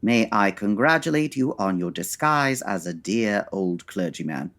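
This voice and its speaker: Guy doing a brilliant old radio detective, formal, theatrical, really lively melody in the voice. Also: on the low side, a little slow. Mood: reading, chagrined. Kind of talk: old-time radio drama acting.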